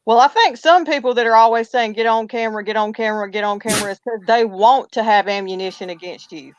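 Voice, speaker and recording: A woman's voice: a little high, fast talker, a little hiss or hum. A woman, chatting casually and telling a rambling personal story. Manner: rambling, talks through restarts and hesitations. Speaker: co-host telling ghost stories. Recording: muffled lo-fi audio.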